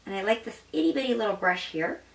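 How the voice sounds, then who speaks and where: A woman's voice: medium pitch, lively intonation, fast talker, creaky, roomy sound, distant mic, low-quality recording. A young woman gushing over beauty products in a casual vlog, demonstrating them as she talks. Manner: bubbly and gushing, demonstrating makeup while talking. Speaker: beauty vlogger. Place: home makeup room indoors.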